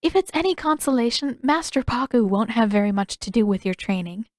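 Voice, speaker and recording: A woman voicing a polite, gentle, composed teenage princess in an audiobook story. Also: on the high side, lively intonation, fairly quick, no echo, clean studio sound, lightly acted. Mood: apologetic, amused.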